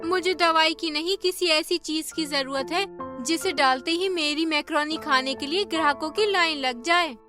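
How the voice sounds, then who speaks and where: Woman as a discontented, high-voiced village wife. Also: moderate pace, music in the background, lightly acted. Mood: eager. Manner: complaining.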